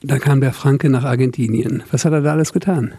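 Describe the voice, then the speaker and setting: A man's voice: mid-range voice, a little fast, warm tone, husky. A man hosting a podcast, putting casual questions to his guest. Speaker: podcast host, interviewer.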